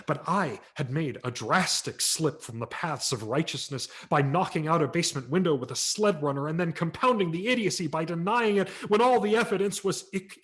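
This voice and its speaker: Expressive male audiobook narrator. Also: medium pitch. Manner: expressive, wry storytelling. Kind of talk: dramatic reading of a memoir-style story. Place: home setup for a virtual event.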